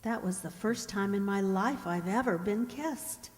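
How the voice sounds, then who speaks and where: A woman's voice: medium pitch, a little slow, a little mellow, natural voice. A woman playing a dreamy, earnest seventeen-year-old country girl in a radio drama, with a wistful, musing manner. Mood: shy, flustered. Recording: hall-like long reverb.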